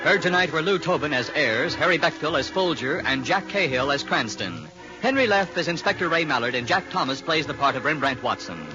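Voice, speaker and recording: A man's voice: fairly high pitch, expressive, fairly quick. A man announcing in scripted 1940s radio style, reading closing credits, with organ music behind him. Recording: dull vintage radio recording with music.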